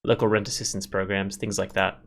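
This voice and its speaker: A man's voice: deep voice, level tone, a little fast, fairly bright tone, slight background noise. A man hosting a gameplay video, reading visual novel text aloud and adding rambling, casual commentary.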